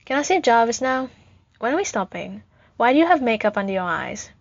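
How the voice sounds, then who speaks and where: Young boy as voiced by a female narrator, storybook role, high, insistent, pleading and inquisitive. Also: very animated, brisk, dry recording, highs cut off. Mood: pestering, curious. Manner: pleading, insistent, childish questioning. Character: small frightened, demanding, inquisitive young boy.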